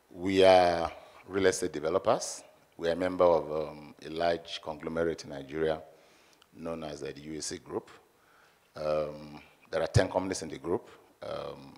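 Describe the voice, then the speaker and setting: A man introducing himself as a panelist in a measured, hesitant way, speaking into a handheld mic. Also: a bass voice, a little mellow. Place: conference hall audience.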